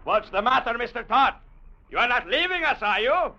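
An actor playing a brutal, bullying captain in an old radio drama, menacing and mocking, barking orders. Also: male voice, very high voice, expressive, normal speed, bright, forceful tone, exaggerated voice. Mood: mocking menace. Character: brutal, bullying sea captain ruling a jungle trading post. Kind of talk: 1940s-50s radio drama acting. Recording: muffled old radio recording, faint noise.

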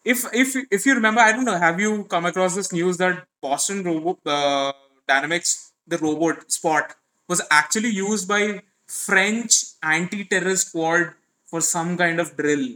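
Male co-host, high bright voice, rambling and laughing. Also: normal speed, rough recording. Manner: rambling, self-mocking, laughs often. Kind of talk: casual podcast banter.

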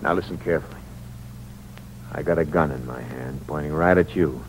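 An actor playing a cold-blooded, cynical killer in a 1940s American radio thriller, terse and slangy. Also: male voice, extremely low pitch, a little fast. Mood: threatening. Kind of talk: 1940s radio thriller acting, first-person hardboiled narration. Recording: muffled old radio recording with hum and hiss.

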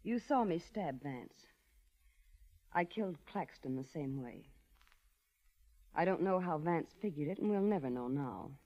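An actress playing an elegant, dangerous femme fatale with poised, dramatic 1940s radio acting. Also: female voice, deep voice, expressive, a little slow, long pauses, exaggerated voice. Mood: resigned, bitter. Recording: dull old radio recording.

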